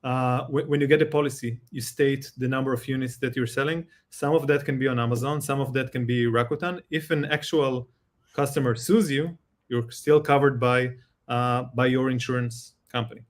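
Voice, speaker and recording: A man's voice: medium pitch, steady tone, normal speed. A man with an Israeli accent, a guest expert explaining things step by step in a live Q&A, with many fillers and restarts.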